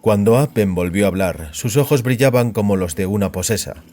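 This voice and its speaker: A man's voice: fairly low pitch, brisk, mellow, slight background noise. A man narrating scripted audio fiction as a storyteller.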